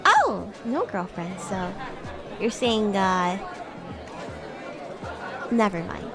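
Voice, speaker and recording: A woman livestreaming in character as a bratty, playful young demon classmate, chatting in a giggly way with drawn-out, hesitant words. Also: mid-range voice, very animated, music in the background, a crowd in the background. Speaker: VTuber streamer.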